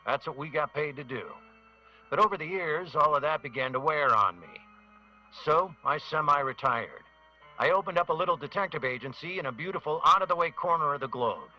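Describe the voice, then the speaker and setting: An actor playing a world-weary semi-retired mercenary turned private detective, narrating an old-time radio adventure in a wry way. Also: male voice, fairly high pitch, expressive, fairly quick, bright, forceful tone, muffled recording. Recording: music bed behind the voice.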